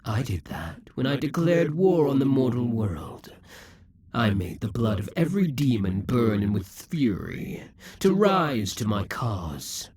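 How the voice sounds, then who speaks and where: A man's voice: mid-range voice, very animated, measured pace, darkish tone, slightly rough, no echo. A man voicing a proud, possessive male demon king who taunts and schemes, sometimes dropping to a whisper. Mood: seductive menace. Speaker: voice actor in an audio roleplay. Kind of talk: audio roleplay acting.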